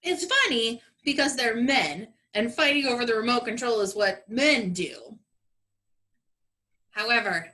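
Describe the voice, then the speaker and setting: A woman's voice: fairly high pitch, pushed and bright, poor audio. A woman comedian giving sassy, sarcastic narration for a radio play. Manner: dry sarcastic commentary.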